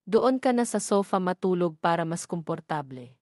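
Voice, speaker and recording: A Filipino woman voicing an earnest, resolute young female student in an audio drama, speaking in clear declarative lines. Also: fairly low pitch, lively intonation, normal speed, darkish tone, dry recording, clean studio sound, close to an everyday voice. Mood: grateful.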